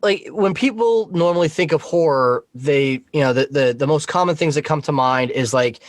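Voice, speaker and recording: A man's voice: on the high side, moderate pace, no room sound, clean studio sound. A man talking informally as a podcast guest, explaining things in a conversational way.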